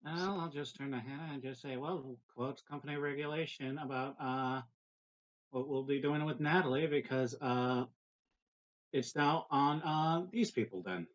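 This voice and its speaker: A man playing a tabletop roleplaying game, explaining casually in a halting manner. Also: mid-range voice, highs cut off.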